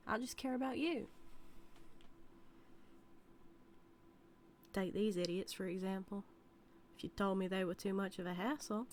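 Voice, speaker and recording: A woman's voice: mid-range voice, a little mellow, natural voice. Woman playing a teasing, obsessive cowgirl, noisy backdrop. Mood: devoted, menacing. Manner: drawling folksy Western talk, teasing, calls listener darling. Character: obsessive, teasing, dominant outlaw cowgirl, sweetly menacing. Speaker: audio roleplay performer. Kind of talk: dramatic audio roleplay monologue to the listener.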